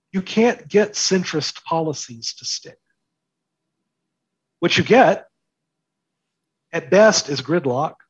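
A man's voice: a little high, husky, no room sound, highs cut off. A man speaking as an interview guest, explaining his commentary with analogies, rhetorical questions and emphatic repetition.

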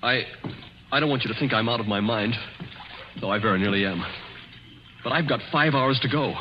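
An actor playing a nervous, hunted young man with a halting, urgent delivery in a 1940s radio drama. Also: medium pitch, slight background noise, natural voice. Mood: desperate. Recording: muffled 1940s radio recording.

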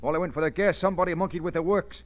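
An actor playing a brisk, hurried gang pilot in a 1940s radio drama. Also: male voice, a little high, fairly even intonation, close to an everyday voice. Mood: anxious. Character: brisk male gang pilot nicknamed for Englishness. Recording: dull vintage radio recording.

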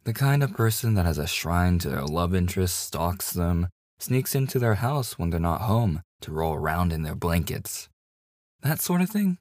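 A man's voice: low-pitched, sing-song, moderate pace, darkish tone, dry recording, studio quality. A man playing a devoted, slightly obsessive boyfriend in an intimate ASMR audio roleplay, with a hesitant, stammering manner. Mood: incredulous. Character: devoted, nervously joking boyfriend hiding obsessive yandere streak. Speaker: voice actor.

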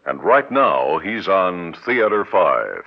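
A man's voice: low-pitched, sing-song, slow, vocal fry. A man announcing an old radio drama in dramatic scripted narration. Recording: dull vintage radio recording. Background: loud music.

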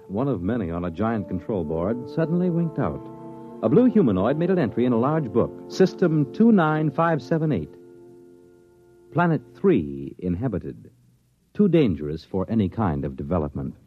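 A man's voice: fairly low pitch, very animated, normal speed. A man announcing and narrating from a script in a formal, dramatic 1950s radio style. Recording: dull old radio broadcast with music. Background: music.

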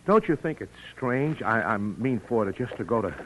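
An actor playing a shy, earnest miner in an old-time radio drama, speaking hesitantly and apologetically. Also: male voice, medium pitch, expressive, moderate pace, fairly bright tone, natural voice. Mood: hurt, earnest. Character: shy, earnest, easily flustered male miner. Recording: noisy vintage radio recording.

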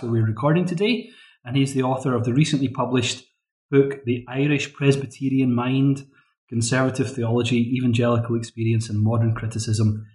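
A man's voice: medium pitch, darkish tone. A man hosting an interview podcast, polite and formal as he introduces his guest. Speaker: podcast host. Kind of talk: interview host. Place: university office. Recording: roomy echo.

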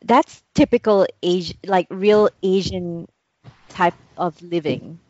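A woman's voice: lowish voice, takes it slowly, a little mellow, dry recording. A woman from Singapore, co-hosting a casual chat, hesitant and full of restarts. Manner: hesitant, many restarts and fillers. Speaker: podcast co-host. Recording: dull lo-fi dry sound.

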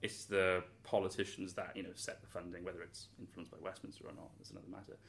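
Young guy in an interview, really low voice, pretty monotone, earnest and hedgy. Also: fast talker. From Wales. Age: young adult. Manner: earnest, thoughtful, with frequent hedges and restarts. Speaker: interviewee, student. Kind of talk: interview answers.

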